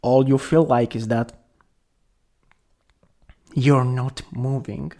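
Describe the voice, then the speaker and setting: A young man acting a caring, worried best friend in an ASMR roleplay, gentle, hesitant and intimate. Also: medium pitch, fairly even intonation, slow pace. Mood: gentle, reassuring.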